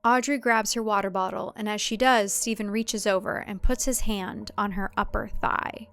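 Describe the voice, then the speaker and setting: A woman's voice: medium pitch, fairly even intonation, moderate pace, fairly bright tone. A woman who is the author, reading her audio drama as scripted narration with a wry storytelling delivery.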